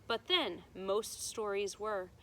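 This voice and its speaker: A woman's voice: medium pitch, slow pace, a bit bright, rough recording. A woman teacher reading a storybook aloud to children, with emphatic delivery and simple explanations. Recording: noticeable background noise.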